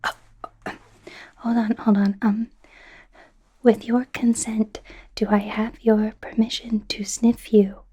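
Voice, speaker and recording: A young woman voicing an awkward, flustered female werewolf student in a soft, whispery ASMR roleplay, stammering and rambling nervously. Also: fairly high pitch, flat delivery, slow, pauses often. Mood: flustered, curious. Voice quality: soft, breathy, often whispery. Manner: nervous, stammering, rambling, indignant when embarrassed.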